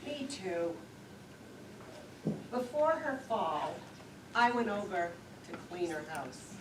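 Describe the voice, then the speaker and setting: A chatty, nagging older woman played by an actress on stage, with lively swings in pitch and an unhurried pace. She sounds self-absorbed and easily exasperated. The recording is roomy, with clear noise. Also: far from the mic. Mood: aggrieved. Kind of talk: amateur stage play dialogue. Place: lakeside community theater stage, picked up from afar.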